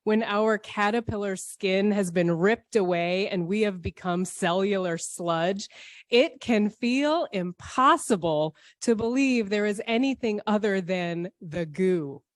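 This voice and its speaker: A woman delivering a scripted, reflective church sermon in measured, poetic phrases with deliberate phrasing. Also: medium pitch, no room sound, clean studio sound. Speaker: speaker at a church service.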